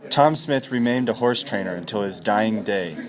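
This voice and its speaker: Low-voiced male narrator in an echoey hall. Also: lively intonation, normal speed. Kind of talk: scripted story narration. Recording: echoey hall, clear background noise.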